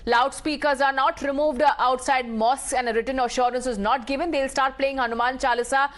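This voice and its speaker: A woman news anchor speaks in a high voice with an Indian English accent. She presents live broadcast news and puts questions to reporters and guests. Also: fairly even intonation, fairly quick.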